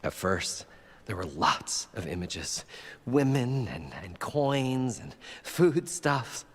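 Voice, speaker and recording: An eccentric inventor with a husky voice that swings widely in pitch. He is proud, showy and eager, a folksy game character heard in long reverb. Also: sing-song, takes it slowly, fairly bright tone, full character voice. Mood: wondering. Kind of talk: video game cutscene acting.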